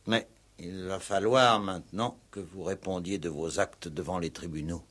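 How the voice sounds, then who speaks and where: An actor in a 1960s French radio play playing a stern, principled police commissioner with a measured, formal, authoritative delivery. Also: male voice, low-pitched, steady tone, fairly bright tone. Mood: stern, solemn.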